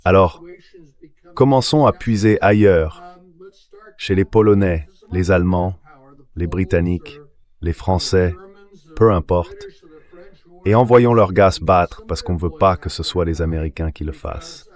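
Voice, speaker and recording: A man delivering dubbed political commentary as an interview guest, reasoning in a measured way and posing rhetorical questions. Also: low voice, a little mellow.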